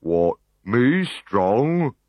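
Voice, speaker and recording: Man putting on a big slow lumbering gaul, drawling, low, simple. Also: lively intonation, dry recording, muffled recording. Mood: pleading. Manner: slow, ponderous, pleading.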